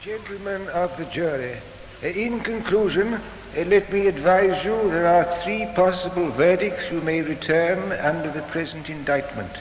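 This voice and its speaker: An actor playing a dry, deliberate presiding judge with halting, formal delivery in a 1950s radio drama. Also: male voice, high-pitched, natural voice. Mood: formal, unhurried. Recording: muffled, noisy, reverberant old radio recording.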